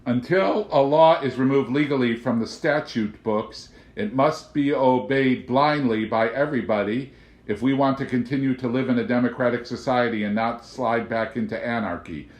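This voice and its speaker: A man's voice: medium pitch, moderate pace, dull audio, lightly acted. Man acting an indignant, preachy middle-aged government agent. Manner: forceful, lecturing, exasperated. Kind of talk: audiobook character voice. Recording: roomy, echoing home recording.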